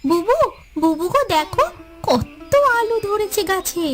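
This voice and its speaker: A woman's voice: very high-pitched, expressive, measured pace, soft background music. A woman playing a caring, eager younger co-wife in a village cartoon, with a sweet manner. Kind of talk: cartoon voice acting.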